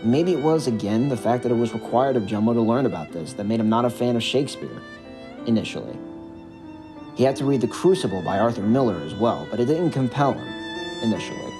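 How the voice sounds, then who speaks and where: A man narrating a scripted character backstory as an audio drama, in an expressive, dramatic storytelling manner. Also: medium pitch, a little fast, music in the background.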